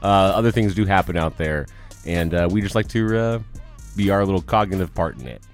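A man co-hosting a casual podcast, rambling and often trailing off quietly at the end of his phrases. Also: low voice, fairly quick, faint music behind. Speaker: podcast co-host. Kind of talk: casual chat.

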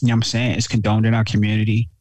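A man co-hosting a casual talk show, talking in a slangy, animated way, often repeating himself and calling his friend bro. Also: fairly low pitch, racing, no echo. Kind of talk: casual chat.

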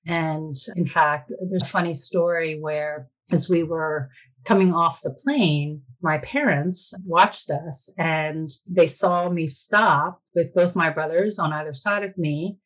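Low-voiced American woman telling her story. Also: poor audio. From born in Korea, raised in the United States. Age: 50s. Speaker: interview guest, Korean adoptee. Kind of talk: reflective personal storytelling.

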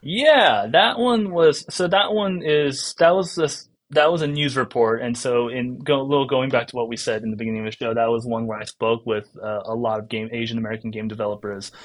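A man's voice: medium pitch, fairly quick, few pauses. Rambling man from South Korea. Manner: rambling, many restarts and fillers. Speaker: guest games writer. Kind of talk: casual podcast interview.

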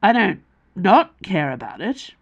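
A woman voicing a weary, gruff middle-aged male mercenary father, with a dry, understated delivery. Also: medium pitch, big pitch swings, measured pace, muffled recording, full character voice.

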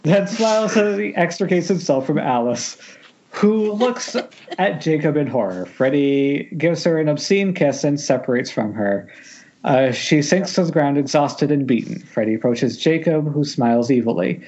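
A man's voice: on the high side, lively intonation, normal speed, a little mellow. A man reading stage directions at an informal script table read, with wry delivery, over a lo-fi internet call.

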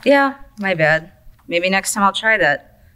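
A woman's voice: medium pitch, animated, a little slow, bright, forceful tone, clear, resonant tone. A woman playing a laid-back but needy coma patient who greets newcomers, flippant and slangy, in an audio drama. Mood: sheepish, casual. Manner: flippant, slangy, easily offended.

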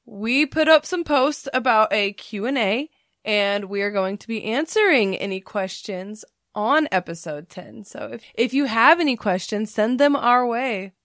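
A woman's voice: on the high side, expressive, unhurried, a bit bright, dry recording, clean studio sound. A woman co-hosting a podcast in casual chat, playful and laughing, often mimicking others. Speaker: podcast co-host.

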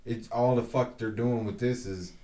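A man's voice: mid-range voice, steady tone, normal speed, mellow. A man co-hosting a podcast in casual chat, blunt and emphatic, swearing freely. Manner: profane, blunt, emphatic opinions. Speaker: podcast co-host. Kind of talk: casual chat among friends. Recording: roomy echo, distant from mic.

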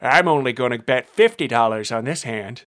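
A man's voice: mid-range voice, lively intonation, normal speed, a bit bright, natural voice. A man voicing a cautious male gambler backing off a bet.

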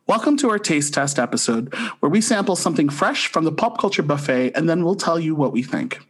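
A man's voice: a little high, expressive, a little fast, a bit bright, slightly rough. A man. He talks as a podcast co-host sharing a casual review, enthusiastic and emphatic in his delivery. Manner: effusive, emphatic.

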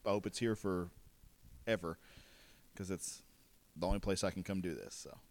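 A man's voice: deep voice, moderate pace. A man from the Southern United States, a comedian guesting on a podcast, joking and swearing in casual chat.

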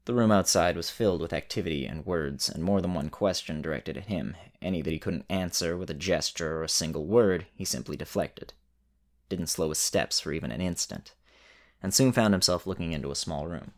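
Male fantasy narrator, low register, dramatic scripted delivery, deliberate phrasing and weighted pauses.

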